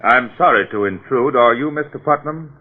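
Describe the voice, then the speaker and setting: An actor playing a determined, suspicious police detective in old-time radio drama, speaking in a clipped, commanding way. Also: male voice, mid-range voice, normal speed, phone-quality sound, a little hiss or hum, natural voice. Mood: firm, probing.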